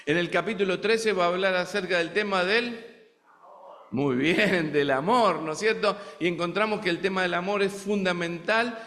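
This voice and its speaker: High-voiced Argentine man preaching. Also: moderate pace, a bit bright, big-room sound. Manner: conversational, asks the congregation rhetorical questions. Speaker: guest preacher. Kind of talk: sermon. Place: church sanctuary in the United States.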